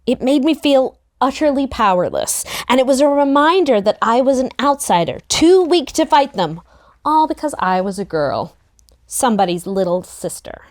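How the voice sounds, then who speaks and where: A woman narrating a first-person story in an expressive, youthful storytelling manner. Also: high-pitched, moderate pace. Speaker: narrator in a book table read.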